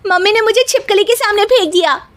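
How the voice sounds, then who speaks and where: A woman plays a young Indian daughter-in-law in a Hindi cartoon. Her voice is extremely high and squeaky. She is timid but cheeky, answering back in a whiny, pert way. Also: a little fast, fairly bright tone. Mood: indignant. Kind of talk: Hindi cartoon story voice acting.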